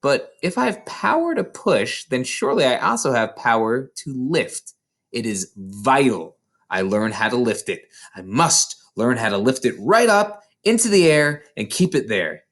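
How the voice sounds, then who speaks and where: A man voicing a determined, excitable young schoolgirl in a storybook, speaking with earnest emphasis. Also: medium pitch, unhurried, exaggerated voice.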